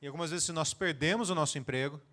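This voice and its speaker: A man interprets a sermon live, phrase by phrase, right after the preacher. He speaks very quickly in a slightly high voice, briskly echoing each line as it comes. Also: sharp tone. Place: church auditorium.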